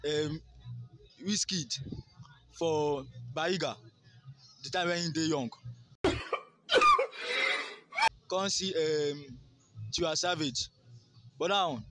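A man's voice: a little high, fairly bright tone. A young man with a Nigerian accent, answering a casual street interview in a rambling, boastful way. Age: young adult. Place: outdoors by a garden wall. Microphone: phone held near the mouth by interviewer.

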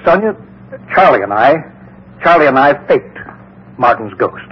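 A man's voice: mid-range voice, very animated, a little slow, breathy edge. An actor playing an anxious, pleading, well-meaning man who stammers and gets flustered, in 1950s radio drama style. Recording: dull vintage 1950s radio recording.

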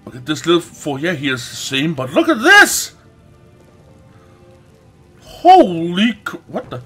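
A man hosts a casual let's play in a fairly high voice that swings up and down a lot. He takes his time, stretching out words, exclaiming and swearing as he goes. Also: huge pitch swings, slow, bright, forceful tone, slightly rough, soft background music. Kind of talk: casual gameplay commentary.

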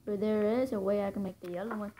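A woman chatting casually while playing a pool game, excitable and quick to squeal and cheer at shots. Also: mid-range voice, heavy background noise. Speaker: gameplay co-player. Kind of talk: casual chat over a game.

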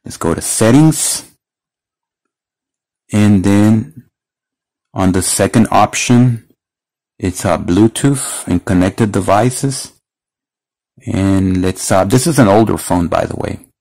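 Low-voiced man demonstrating a product. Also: slow, long pauses, a little mellow, poor audio. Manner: step-by-step, explanatory. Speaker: product reviewer. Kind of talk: casual hands-on product demonstration. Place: indoors at a wooden table.

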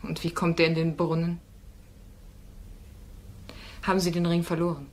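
A woman's voice: on the low side, level tone, moderate pace, natural voice. A woman playing a firm, probing female defence lawyer in a crime radio play, with insistent, pointed questioning. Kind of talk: German radio drama acting.

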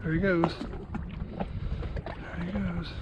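A man's voice: high voice, little pitch movement, takes it slowly, highs cut off. A man in his forties hosting a fishing vlog from a small open boat offshore, chatting easygoing to the viewers and to the fish he lets go. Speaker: fishing channel host. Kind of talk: casual fishing vlog narration. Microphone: action camera on the boat. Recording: action camera with wind and water noise. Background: wind and water noise.

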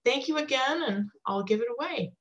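A young adult woman, a curator and design teacher, introducing herself on a webinar in a clear, composed, prepared way over a video call. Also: high voice, animated, brisk, low-quality recording. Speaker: assistant curator and design faculty.

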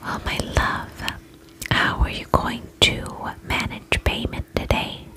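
A woman doing an ASMR spa roleplay as an attendant, speaking in a soft breathy whisper close to the mic, gentle, soothing and affectionate. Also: slow. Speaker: ASMR performer playing a vampire spa attendant. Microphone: close ASMR microphone.

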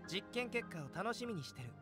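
An actor playing a calm, curious young male alchemist in an anime video game, speaking in a measured, polite, analytical way. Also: sits high, music in the background.

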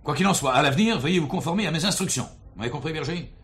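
An actor playing a stern, authoritative police director in a crime radio drama, formal and reprimanding. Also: male voice, fairly high pitch, lively intonation, racing, fairly bright tone. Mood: firm, relenting.

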